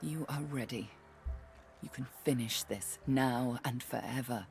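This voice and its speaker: A stern, weary but resolute game guide. She speaks very slowly and emphatically, with soft music behind her. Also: rumbling low. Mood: resolute, encouraging. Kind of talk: video game character acting.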